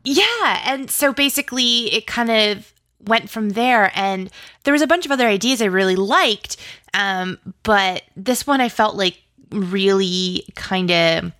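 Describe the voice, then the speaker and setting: A woman's voice: medium pitch, lively intonation, a little slow, sharp tone, no room sound, studio quality. A woman speaking as an interview guest in casual, informal conversation, with slangy phrasing full of "like". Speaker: interview guest, audio drama writer.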